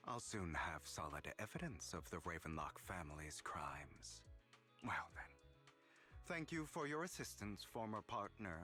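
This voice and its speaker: Suave theatrical gentleman schemer. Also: low-pitched, heavily acted. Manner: formal, eloquent, dramatic delivery. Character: suave, scheming, theatrical gentleman thief, proud young man. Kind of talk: video game voice acting.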